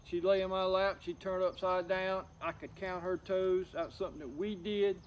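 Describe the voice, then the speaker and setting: A middle-aged man talking personally to camera, subdued and grieving, in a halting, plain-spoken, folksy way. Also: high voice, fairly even intonation, a little hiss or hum. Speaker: outdoors vlogger.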